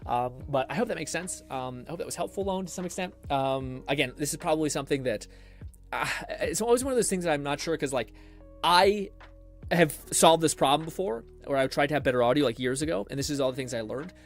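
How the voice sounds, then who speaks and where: A man's voice: fairly high pitch, animated, fast, faint music behind. A man live streaming, explaining things in a casual, rambling way with self-deprecating swearing. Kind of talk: casual rambling stream talk with tutorial advice.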